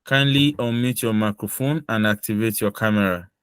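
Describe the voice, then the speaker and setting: A man's voice: lowish voice, moderate pace, fairly bright tone, clear, resonant tone, dry recording. Guy running a mock visa interview, firm and official, short questions, dry sound. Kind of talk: formal questioning. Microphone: video call.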